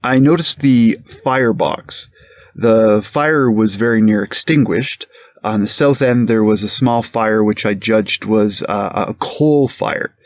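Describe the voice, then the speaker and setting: A man's voice: on the low side, takes it slowly, no room sound. A man playing a precise, deferential police captain giving hesitant, formal testimony in a courtroom reenactment. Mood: measured, factual. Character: careful, plain-spoken male police captain testifying as a witness. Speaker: witness.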